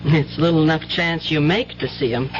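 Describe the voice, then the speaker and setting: A woman's voice: deep voice, natural voice. Actress as a scolding country mother, muffled radio. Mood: bitter. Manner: scolding, brisk, no-nonsense. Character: sharp-tongued, long-suffering country mother and wife. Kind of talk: 1970s radio drama acting. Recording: muffled 1970s radio broadcast with hum.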